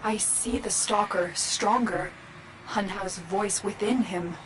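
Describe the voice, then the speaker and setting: An actress playing a grave, troubled female mystic guide in a video game, speaking in a measured, dramatic way. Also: medium pitch, noisy background, natural voice. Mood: solemn, troubled. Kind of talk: video game character acting.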